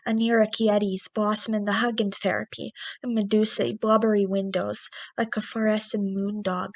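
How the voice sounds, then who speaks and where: Woman, poetry reading, medium pitch, little melodic variation, clipped phrases with stumbles on long words, dry close sound. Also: no room sound, rough recording. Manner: recites in short separated phrases, stumbling over long words. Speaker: poet.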